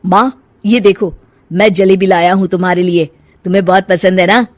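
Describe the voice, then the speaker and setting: A woman voicing a devoted, gentle young stepson in a cartoon moral story. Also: lowish voice, fairly even intonation, moderate pace, dry recording, close to an everyday voice. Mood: cheerful.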